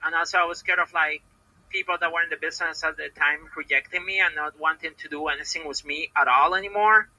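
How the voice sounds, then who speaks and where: Halting Puerto Rican woman, bright tense voice. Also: low-pitched, fairly even intonation, dry recording, low-quality recording. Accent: Puerto Rican Spanish. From Puerto Rico. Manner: hesitant, halting, many repeated words and fillers. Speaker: professional wrestler, podcast guest. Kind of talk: casual interview answers.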